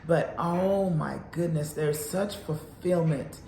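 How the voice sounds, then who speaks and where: Woman, 50s, counselor; slow, earnest, encouraging; roomy echo, faint ambient hum. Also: low-pitched, warm tone. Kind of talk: encouraging faith talk.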